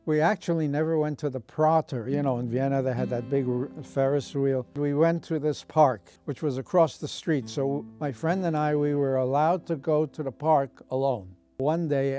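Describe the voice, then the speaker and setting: A man in his nineties from Austria, telling his life story at an unhurried pace with pauses, recorded as an oral history. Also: mid-range voice, dark tone. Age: 90s. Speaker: Holocaust survivor giving testimony.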